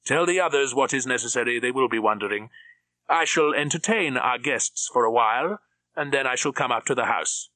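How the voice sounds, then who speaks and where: A man's voice: medium pitch, fairly quick, bright, forceful tone, no room sound, pitched above the performer's own voice, lightly acted, low-quality recording. A man voicing a cold, menacing middle-aged villain with a clipped, commanding manner. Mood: coldly gloating. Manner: clipped, commanding, coldly mocking.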